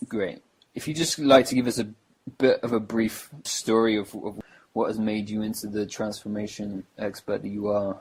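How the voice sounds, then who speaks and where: A man's voice: on the low side, flat delivery, normal speed, darkish tone, husky, rough recording. A man hosting a podcast, introducing his guest and asking him to tell his story. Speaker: podcast host.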